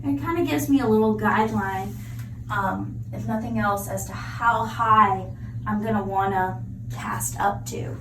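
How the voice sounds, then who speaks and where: A woman's voice: mid-range voice, measured pace, background noise. A woman prosthetist explaining a clinical procedure step by step as she demonstrates it. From United States. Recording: echoey room, mic from far off.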